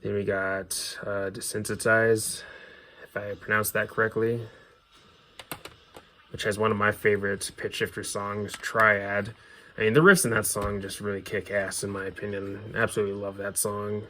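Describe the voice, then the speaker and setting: A man with a fairly low voice talks casually as a video host, in an unscripted, offhand way that softens each opinion. There is some noticeable background noise in the recording. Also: little pitch movement, moderate pace. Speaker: video host showing a music CD collection. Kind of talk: casual unscripted collection commentary.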